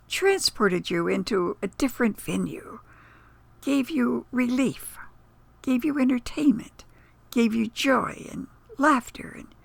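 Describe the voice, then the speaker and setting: A woman with a gravelly, breathy voice reading scripted narration as a presenter in a slow, solemn manner. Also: fairly high pitch, dry recording. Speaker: host and presenter.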